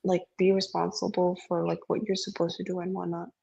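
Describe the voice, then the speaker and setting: A woman's voice: fairly low pitch, monotone, brisk, vocal fry, warm tone, slightly rough, muffled recording. A young woman who grew up speaking Indonesian, venting in English in a casual podcast chat, rambling and swearing with lots of filler words. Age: young adult. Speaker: podcast co-host.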